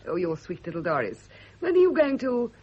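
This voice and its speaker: A woman's voice: on the low side, wildly swooping pitch, fairly quick. An actress playing a proud, bitterly ironic middle-aged British woman in a radio drama. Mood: brittle, mocking. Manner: arch, brittle, mocking. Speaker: actor in a radio play. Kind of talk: 1970s radio drama acting. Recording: dull, lo-fi old radio recording.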